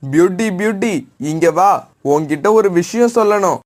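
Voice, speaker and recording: Man as a high-voiced cheeky young bird. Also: expressive, moderate pace, a little mellow. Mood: sly, coaxing. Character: cheeky scheming young male bird, boastful. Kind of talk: children's cartoon voice acting.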